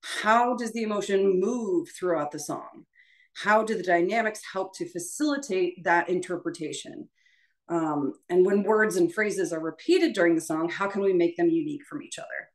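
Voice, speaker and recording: This is a woman with a slightly low-pitched voice, speaking as a voice teacher in an informal lesson. She explains in a conversational, halting way, often pausing to rephrase. Her manner is encouraging and affirming. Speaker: voice teacher leading an audition prep session.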